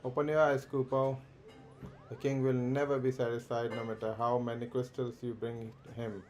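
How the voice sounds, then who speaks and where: Man voicing a stern little moogle from a game, read plainly at his usual pitch, no put-on voice. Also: little pitch movement, normal speed, a little mellow, faint music behind, lightly acted. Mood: accusing. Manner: reads the game's dialogue aloud in his own voice.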